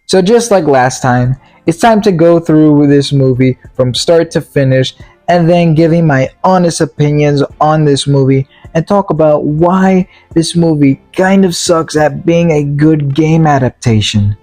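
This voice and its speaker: A man giving a casual, opinionated movie review as a video commentator, in a halting, repetitive, slangy way. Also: fairly high pitch, dark tone, soft background music.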